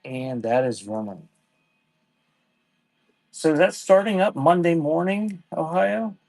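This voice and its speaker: A man's voice: a little high, animated, a little mellow, no echo, rough recording. Offhand man selling cassettes live. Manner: offhand, halting, thanks viewers by name. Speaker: live online seller. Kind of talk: casual live-stream selling.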